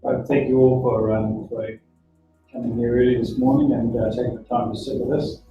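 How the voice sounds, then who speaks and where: A middle-aged man with a New Zealand accent hosts a live panel at a technical institute and halts often on ums and uhs. He sounds far from the mic in a very echoey room. The recording is dull, with music playing clearly behind him. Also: mid-range voice, level tone, dark tone. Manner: halting, many ums and uhs. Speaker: host. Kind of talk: live-streamed panel chat. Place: teaching workshop at a technical institute.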